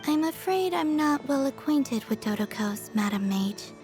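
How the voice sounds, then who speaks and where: A high, youthful goddess from a video game, calm and reserved. The words come out understated and formal, with quiet, matter-of-fact curiosity. Music is clearly heard underneath. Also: female voice, moderate pace.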